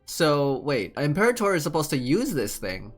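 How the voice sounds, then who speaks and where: A man's voice: fairly high pitch, very animated, moderate pace. A young man giving casual, slangy playthrough commentary as a game streamer, rambling and thinking aloud. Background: game music.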